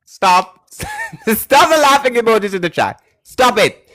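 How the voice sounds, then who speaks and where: A man hosting a live call-in debate stream, pressing callers with insistent questions and joking loudly with his chat. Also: extremely high pitch, measured pace, dry recording, studio quality. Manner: lively, shouts and giggles at chat.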